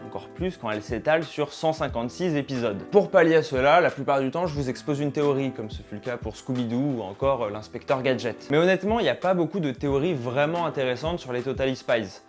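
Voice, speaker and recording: A man's voice: mid-range voice, expressive, fast, hardly any pauses, soft background music. A young man hosting a conversational video essay, speaking informally and straight to his viewers. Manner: informal, addresses viewers directly.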